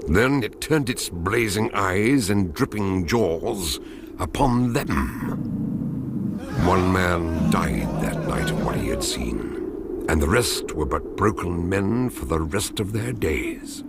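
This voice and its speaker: A grave British patriarch tells a gothic family curse in a very low voice full of creak. His delivery is ominous and deliberate, in solemn archaic radio-drama style, with clear atmospheric noise around him. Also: very animated, full character voice. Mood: grave warning. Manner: slow-building, ominous, solemn, archaic storytelling. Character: grave ancestor recounting a dreadful family legend of a demon.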